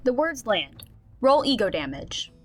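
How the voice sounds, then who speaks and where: A woman playing an expressive game master, narrating a fantasy story in a scripted radio drama. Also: fairly high pitch, a little slow, over loud music. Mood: storytelling.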